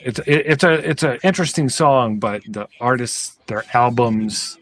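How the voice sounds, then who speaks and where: A man's voice: mid-range voice, normal speed, fairly bright tone. A man on a podcast panel giving casual commentary on music. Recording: faint music behind the voice. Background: faint music.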